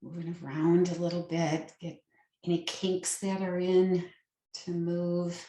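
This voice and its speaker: A woman leading a guided meditation as a yoga nidra teacher, with a soothing, gentle and unhurried manner. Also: fairly low pitch, level tone. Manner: soothing, unhurried, gentle instruction with long pauses. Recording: roomy, echoing sound.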